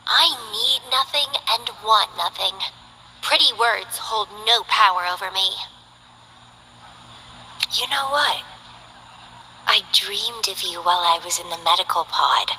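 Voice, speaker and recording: Drowsy, bored young mercenary. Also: female voice, a little high, pushed and bright, long reverb tail, slight background noise, heavily acted, rough recording. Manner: lazy, drawling and teasing. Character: languid, bored young woman mercenary who loves plants. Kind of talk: game character voice lines.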